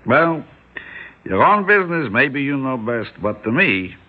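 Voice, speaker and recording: An actor playing a calm, stubborn older shopkeeper who reasons in wry questions and Yiddish-inflected phrasing, in old-time radio style. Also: male voice, medium pitch, measured pace. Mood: shrewd, persuasive. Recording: muffled old radio recording.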